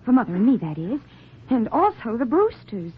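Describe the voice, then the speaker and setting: An actress playing an anxious, pleading, well-bred young daughter in 1940s radio drama style. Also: female voice, on the high side, sing-song, moderate pace, husky, exaggerated voice. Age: young adult. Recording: muffled, narrow 1940s radio recording.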